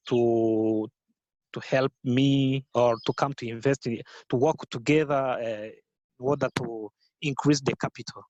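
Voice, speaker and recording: A Rwandan man whose first language is Kinyarwanda, answering interview questions in careful, halting English with many fillers and restarts. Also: medium pitch, dry recording, rough recording. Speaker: guest, business founder. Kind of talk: podcast interview.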